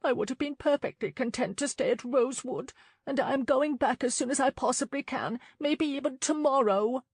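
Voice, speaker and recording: A proud young gentlewoman on the edge of tears, put on by a woman reading a storybook aloud. Her voice sits high and lifted above the narration, with an altered, breathier timbre. Close and dry. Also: moderate pace, breathy edge, dry recording. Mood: distressed. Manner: pleading, tearful and defiant. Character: distressed young English gentlewoman, proud and tearful.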